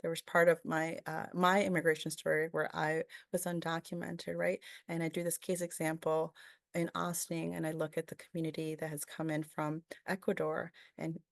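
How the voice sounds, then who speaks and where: A woman's voice: low-pitched, flat delivery, moderate pace, creaky, dry recording, clean studio sound. A woman from Peru giving a webinar presentation, thoughtful and full of fillers, often asking "right?".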